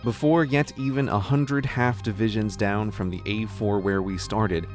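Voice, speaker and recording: Male narrator, low register, awed grandeur in a scripted read, over clear music. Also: steady tone, unhurried. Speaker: narrator of a played video.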